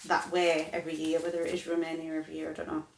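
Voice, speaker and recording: A woman's voice: low-pitched, completely flat delivery, rapid-fire, creaky, a little hiss or hum. Chatty woman in her thirties, echoey room. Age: 30s. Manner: rambling, chatty, self-correcting. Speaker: vlogger. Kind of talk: casual chat to camera.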